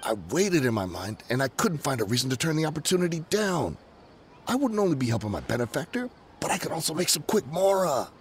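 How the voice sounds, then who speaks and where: A man's voice: a little high, sing-song, a little hiss or hum. An actor playing a nervous male smuggler who pleads and bargains when cornered, in a game cutscene. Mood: pleading. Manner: pleading, bargaining, quick to back down.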